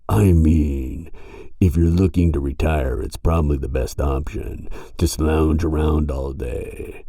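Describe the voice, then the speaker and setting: A sardonic grim reaper, acted by a man for an audio drama, with a very low, dark and mellow voice. He talks in casual slang, brash and teasing, as if always ready to argue. The sound is close and dry. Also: vocal fry, no room sound, heavily acted. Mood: dry, dismissive. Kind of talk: audio roleplay acting.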